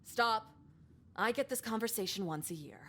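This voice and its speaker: An actress playing a vain, evasive high school principal in a visual novel game, breezy and deadpan. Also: female voice, normal speed. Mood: weary.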